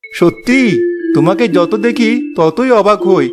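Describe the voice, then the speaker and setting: A man's voice: sits high, a little slow, music in the background. A man voicing a mild, long-suffering village farmer husband in a cartoon, with a patient, plaintive manner. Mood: earnest. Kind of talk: Bengali cartoon dialogue.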